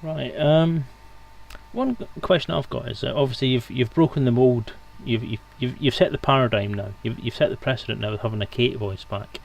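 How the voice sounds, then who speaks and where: A man's voice: mid-range voice, brisk, rough recording. A man speaking casually as a guest in a livestream discussion. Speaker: stream guest. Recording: clear background noise on mic.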